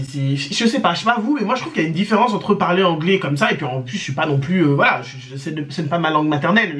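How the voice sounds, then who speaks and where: A woman telling a personal story to her viewers in a casual, chatty way, often hesitating with fillers and restarts. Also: sits low. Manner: chatty, addresses viewers directly. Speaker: storytime vlogger.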